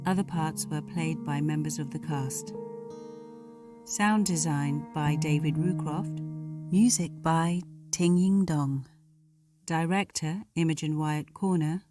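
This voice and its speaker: Woman, credits announcer, low voice, slow pace, scripted delivery, guitar music behind. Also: fairly even intonation. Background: plucked guitar music.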